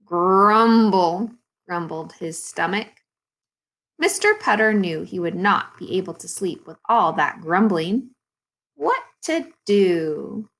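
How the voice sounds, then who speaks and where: Slow, lively woman reading to children. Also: on the low side. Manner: expressive, deliberate storytelling with dramatic pauses. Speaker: second-grade teacher. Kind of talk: storybook read-aloud for young children.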